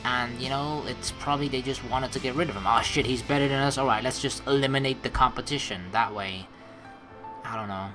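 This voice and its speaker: A man's voice: mid-range voice, a little fast, music in the background. A man hosting a let's play, mixing read-aloud narration with rambling, profane casual commentary. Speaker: let's play host reading game text aloud.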